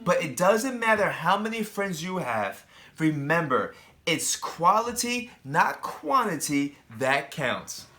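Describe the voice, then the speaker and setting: A man's voice: on the high side, very animated, slow, sharp tone. A man who teaches, reading a picture book aloud to young children, with chatty asides and questions put straight to them. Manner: addresses young children directly, asking questions.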